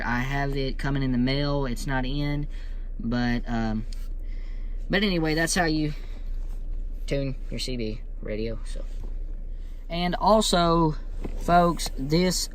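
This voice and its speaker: Southern guy doing a how-to in his vehicle, slow folksy rambling, bit of noise behind him. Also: medium pitch. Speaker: how-to video host. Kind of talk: informal hands-on tutorial talk. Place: inside a vehicle. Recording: clear background noise inside a vehicle.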